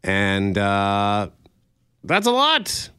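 A man's voice: on the low side, wildly swooping pitch, drawn-out words, sharp tone, clear, resonant tone, no room sound, studio quality. A man co-hosting a morning radio show in casual chat, rambling and correcting himself, chuckling now and then. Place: radio studio. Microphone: studio microphone.

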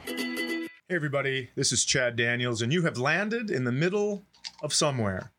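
A man's voice: medium pitch, lively intonation, normal speed, music in the background. A man with an American accent hosting a podcast in casual comedic banter, joking, teasing and profane.

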